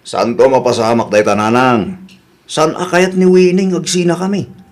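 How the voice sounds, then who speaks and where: A man's voice: on the high side, little pitch movement, moderate pace, natural voice. A man playing a boastful, excuse-making philandering husband in an Ilocano radio comedy drama, with an Ilocano Filipino accent. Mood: boastful, whining.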